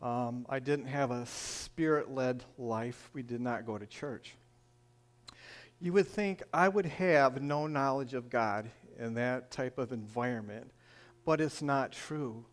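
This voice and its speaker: A man's voice: mid-range voice, takes it slowly. An older man from Michigan with a northern Michigan accent, telling his personal faith testimony in an earnest, storytelling way with frequent "uh"s. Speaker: church testimony speaker.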